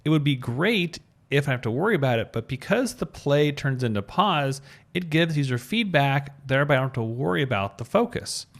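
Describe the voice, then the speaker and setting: A man's voice: mid-range voice, animated, fairly quick, fairly bright tone. Man casually walking through a tutorial. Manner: informal, thinks aloud while demonstrating. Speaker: tutorial presenter, web designer. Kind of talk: casual step-by-step screencast narration.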